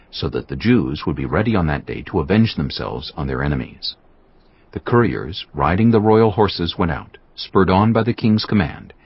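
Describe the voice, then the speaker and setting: A deep-voiced man narrates scripture with solemn, measured drama. His tone is husky and his pitch moves expressively, with music underneath. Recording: dull lo-fi audio with music behind.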